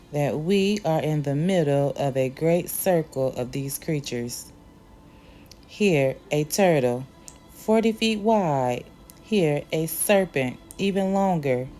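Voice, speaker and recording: A woman reading a children's adventure story aloud in a careful, expressive storytelling manner, as the host of a reading channel. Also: low-pitched.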